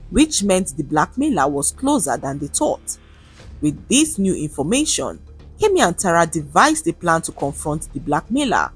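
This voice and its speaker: A woman with a Nigerian accent narrating a scripted story in an expressive, dramatic manner. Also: on the low side, husky.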